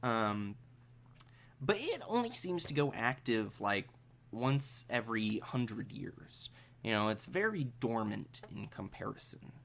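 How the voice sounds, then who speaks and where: A man working as game master, improvising the narration of a tabletop role-playing game in a halting, thinking-aloud way. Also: fairly low pitch, big pitch swings, gravelly, fairly bright tone.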